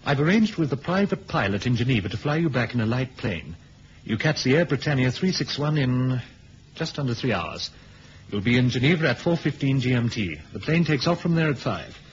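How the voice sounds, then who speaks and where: A man's voice: medium pitch, animated, fairly quick, natural voice. A male actor playing an exasperated British intelligence chief in a 1970s radio drama, officious and clipped, with a British accent. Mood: brisk. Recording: dull lo-fi old radio recording, hiss.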